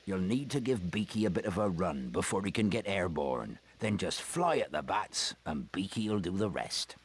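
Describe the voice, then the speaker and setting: An actor playing a big, gentle gamekeeper who teaches about creatures in a video game, folksy and encouraging. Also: male voice, deep voice, expressive, moderate pace, a little hiss or hum. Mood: fond, encouraging. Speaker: teacher of a creature-care class. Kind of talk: video game character acting.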